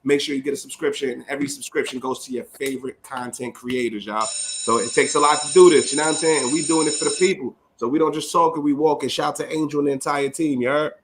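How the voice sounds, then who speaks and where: A man from the Bronx, co-hosting a podcast in energetic, slangy talk and reading a guest introduction. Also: a little high, fast talker, noisy background. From the Bronx, New York. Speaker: podcast co-host. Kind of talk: hype podcast chat with read intro.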